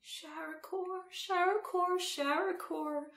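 A woman's voice: sits high, lively intonation, normal speed, a bit bright, echoey room, pitched above the performer's own voice. A woman voicing a drunken, crazed old Empress in an audiobook story, whimpering, crooning and cackling.

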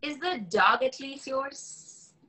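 A woman's voice: sits high, slow pace, pushed and bright, exaggerated voice. A wary young woman on a first date played by an actress at a table read, speaking in natural, everyday dialogue. She sounds like she is on a video call from a room at home. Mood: sceptical. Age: young adult. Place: small room at home, indoors.

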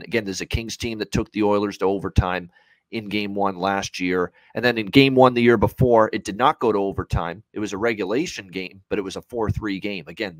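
Low-pitched man talking sports bets. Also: no room sound. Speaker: sports betting analyst on a hockey preview show. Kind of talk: unscripted sports betting commentary. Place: small home room, indoors, on a video call.

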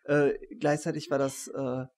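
Higher-voiced man, discursive interviewer. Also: level tone, unhurried, darkish tone. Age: 40s. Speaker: interviewer, academic host. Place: small attic room, indoors. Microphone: studio microphone on desk.

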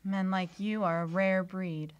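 Woman acting a mysterious young healer lady for radio drama, measured n secretive, mid pitch, dry sound. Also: steady tone, no echo, lo-fi sound, close to an everyday voice. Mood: coy, probing. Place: small indoor radio studio. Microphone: shared vintage-style studio mic on stand.

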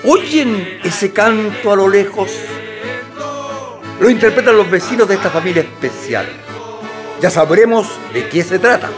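An actor with a Chilean accent playing a genial host who narrates a radio comedy in a theatrical style. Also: male voice, sits high, lively intonation, unhurried. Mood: welcoming, theatrical. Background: guitar music.

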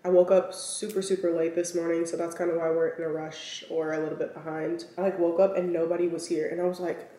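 A young woman in her twenties chatting casually to camera for her vlog, her voice a little raspy from a lingering cold, rambling with lots of fillers as she eats. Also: on the low side, level tone, fast, hardly any pauses, mellow. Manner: chatty, filler-heavy, talks while eating. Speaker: vlogger. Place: home kitchen and walk-in closet. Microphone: camera set on counter at arm's length. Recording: echoey room, somewhat far from mic.

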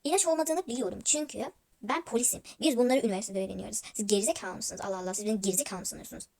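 Young woman doing a stern, gruff policeman, pitched down. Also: very fast, darkish tone. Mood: stern, angry. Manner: scolding, commanding, interrogating. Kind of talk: toy-world roleplay story voice-over.

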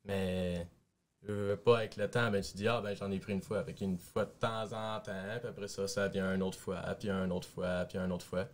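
A man's voice: deep voice, steady tone, moderate pace. A young man with a Quebec French accent, chatting casually in a colloquial, blunt way. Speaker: panelist. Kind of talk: casual chat. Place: small radio studio.

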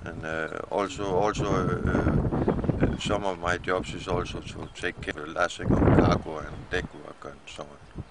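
A man's voice: low voice, fairly even intonation. A middle-aged man with a Danish accent, speaking hesitantly to a camera outdoors as a captive ship's officer. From Denmark. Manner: hesitant, halting. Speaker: captive ship's chief mate. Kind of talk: halting plea to camera. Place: outdoors in bushland. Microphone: camera a short distance away. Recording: very noisy windy outdoor camcorder.

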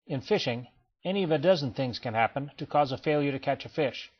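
A man giving a scripted voice-over for a training slideshow, in a measured, explanatory way. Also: medium pitch, slightly rough. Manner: measured, even explanatory delivery. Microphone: voice-over, not recorded in pictured place. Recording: dull lo-fi voice-over.

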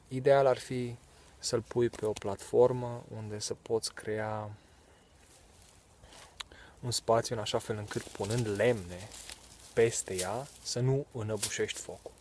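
Low-voiced man explaining outdoors. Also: a little hiss or hum. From Romania. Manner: relaxed explaining with fillers and short laughs. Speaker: bushcraft vlogger. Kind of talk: casual outdoor tutorial. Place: leafless woods outdoors. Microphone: camera close, handheld then set on ground.

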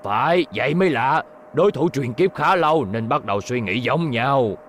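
A man's voice: medium pitch, expressive, moderate pace, fairly bright tone, a little hiss or hum, close to an everyday voice. A man dubbing an elderly, authoritative and exasperated professor in a cartoon, speaking emphatically. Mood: thoughtful, explaining. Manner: stern, emphatic, explanatory.

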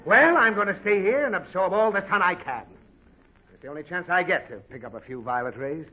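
A man's voice: high voice, animated, brisk. An actor playing an exasperated, scolding father in a 1940s radio comedy, fussy and flustered. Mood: defensive. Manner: fussy, flustered, sputtering reprimands. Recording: muffled, very noisy 1940 radio recording.

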